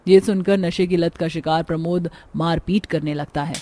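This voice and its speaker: A woman's voice: fairly low pitch, fairly even intonation, moderate pace, dark tone, slight background noise. A woman narrating a scripted cartoon story in a dramatic storytelling manner. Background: background music.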